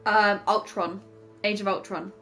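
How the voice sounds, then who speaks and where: A woman's voice: mid-range voice, steady tone, measured pace, bright, forceful tone, soft background music. A woman chatting casually while she plays a game on stream, explaining things at length.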